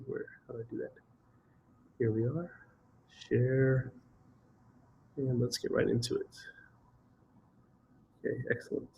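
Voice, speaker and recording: A man with a slight cold and a nasal voice, giving an online slide presentation over a video call, halting with ums, restarts and throat clearing. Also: mid-range voice, a little mellow, a little hiss or hum, low-quality recording. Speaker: presenter of a housing webinar.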